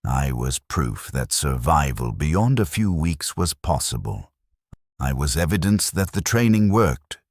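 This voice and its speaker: A man narrating a scripted first-person war story in a measured, detached, clinical manner. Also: a bass voice, very animated, husky, dry recording.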